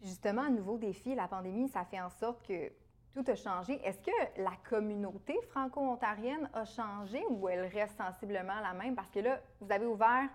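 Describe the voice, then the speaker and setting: A woman's voice: medium pitch, moderate pace. A woman hosting an interview, asking conversational, curious questions. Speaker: host, interviewer.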